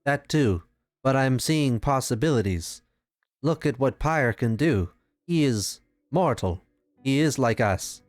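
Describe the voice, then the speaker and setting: A man's voice: mid-range voice, animated, slow, no echo, pitched above the performer's own voice. A man playing an earnest, determined mortal adventurer in a fantasy tabletop game, speaking in an insistent, persuasive way.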